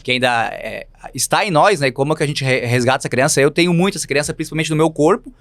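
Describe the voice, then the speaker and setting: A man in his thirties from Santa Catarina with a local accent, a teacher speaking as a guest in a studio interview, playful and lively, often adding "né". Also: a little high, no echo. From Santa Catarina, Brazil. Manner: lively, playful, fast-talking with many "né". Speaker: guest, physical education teacher. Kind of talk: podcast interview.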